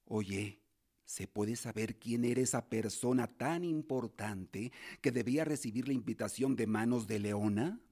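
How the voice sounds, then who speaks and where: A man's voice: medium pitch, unhurried, gravelly, warm tone, slightly rough. A man acting in a radio drama as a stern, prudent uncle and guardian, a colonial-era lawyer with a formal, paternal manner. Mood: probing.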